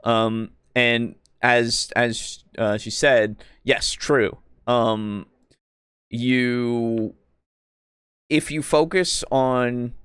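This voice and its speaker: Guy chatting on a stream, clean smooth voice, real dry sound, kinda rambly and stop-start. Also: mid-range voice, dry recording. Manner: halting, wordy, circles back to qualify points. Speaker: podcast host. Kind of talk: casual discussion, commenting on a video for a live stream.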